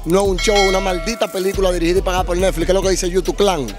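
A young Dominican man rattles off slangy, animated answers at a quick clip. His voice sits a touch high. He speaks into a handheld mic outdoors, with faint music in the background. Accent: Dominican Spanish. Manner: fast, slangy, animated storytelling. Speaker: urban music artist. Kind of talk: street interview. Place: outdoors on a city street.

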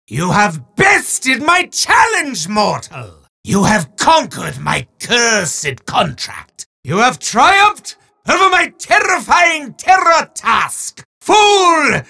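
An actor playing a maniacal, bombastic male evil wizard in a video game, grandiose and theatrical, with booming declarations and quicker asides. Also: gravelly, bright, forceful tone, breathy edge, exaggerated voice. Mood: triumphant, grandiose.

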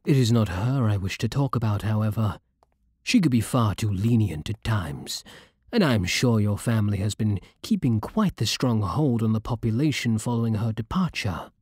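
A British man playing a courtly, possessive vampire king in an intimate ASMR roleplay, silky, formal and commanding with quiet menace. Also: fairly low pitch, expressive, normal speed, no room sound. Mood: courtly, smooth. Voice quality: breathy, husky.

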